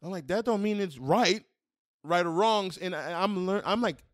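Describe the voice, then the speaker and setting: A man's voice: high voice, normal speed, dry recording, studio quality. A man on a podcast, rambling loosely about his opinions in slangy speech. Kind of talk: casual political chat.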